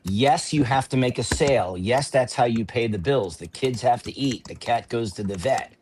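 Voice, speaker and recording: Man, mid-range voice, measured, explanatory, faint background noise. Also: medium pitch. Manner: measured, explains with vivid images. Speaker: panel guest. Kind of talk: casual panel chat.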